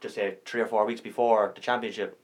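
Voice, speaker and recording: Man, co-host, lowish voice, explains at length. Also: little pitch movement, brisk. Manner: thinking aloud, long explaining turns. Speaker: podcast co-host. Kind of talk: casual chat.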